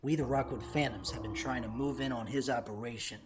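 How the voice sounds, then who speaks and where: A man's voice: medium pitch, steady tone, a little fast, natural voice. A man playing a menacing, vengeful gang boss villain in an audio drama. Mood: gloating menace. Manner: menacing, gloating. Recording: music bed under the voice.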